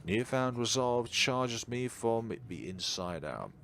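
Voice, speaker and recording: A man's voice: mid-range voice, big pitch swings, slow, a bit bright, a little hiss or hum. A man reading visual novel narration aloud dramatically, stumbling over words now and then and correcting himself. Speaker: video game streamer reading dialogue aloud. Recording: music behind the voice.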